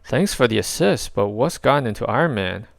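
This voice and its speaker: A man's voice: medium pitch, expressive, a little fast, vocal fry, dry recording. A man voicing a direct, level-headed alien warrior woman in a children's story, firm and succinct. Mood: concerned.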